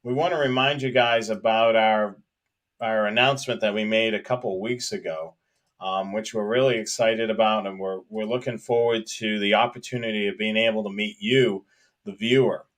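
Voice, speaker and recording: A man's voice: on the low side, steady tone, normal speed, a bit bright. A man co-hosting a casual live stream for radio hobbyists, talking in a hesitant, rambling way and repeating phrases as he goes. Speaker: co-host of a live hobby show about police scanners.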